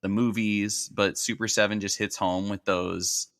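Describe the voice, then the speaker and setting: Bright-voiced man in playful podcast chat. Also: fairly low pitch, little pitch movement, measured pace, no room sound, studio quality. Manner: playful, jokey. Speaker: recurring podcast guest, toy designer.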